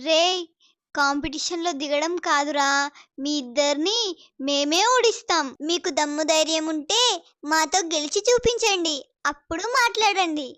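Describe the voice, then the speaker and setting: A woman voicing a bold, outspoken and boastful young girl in an animated children's story. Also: sits high, normal speed, no echo, clean studio sound. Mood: defiant. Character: bold, feisty young girl, sporty conjoined twin.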